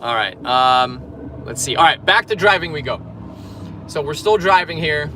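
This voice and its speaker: A man's voice: on the high side, expressive, normal speed, sharp tone, a little hiss or hum. A man from Poland, a fitness coach, chatting casually on a livestream while driving and reading viewer comments aloud. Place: in a car in traffic.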